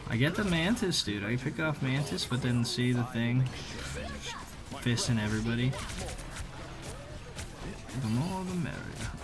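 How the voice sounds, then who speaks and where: A man giving casual, slangy voice-over commentary on gameplay, conversational with animated reactions. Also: medium pitch, measured pace, long pauses, music in the background. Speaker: gaming video creator.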